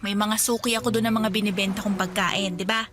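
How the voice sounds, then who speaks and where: A woman's voice: mid-range voice, steady tone, a little fast, lightly acted. A woman playing chatty, bossy Sonia. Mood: scheming. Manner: talkative, bossy, playful. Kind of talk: radio drama acting. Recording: music bed under dialogue.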